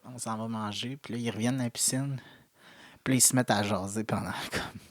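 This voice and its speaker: A man's voice: fairly low pitch, steady tone, a little fast, dry recording. Québécois man, dry close sound. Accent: Québécois French. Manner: rambling, many fillers, laughs while telling stories. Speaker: podcast co-host. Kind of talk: casual chat.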